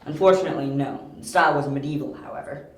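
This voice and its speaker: A man's voice: fairly high pitch, fast, natural voice. A man playing a young, confident detective in an amateur audio drama, explaining things precisely. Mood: thoughtful. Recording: roomy amateur home recording.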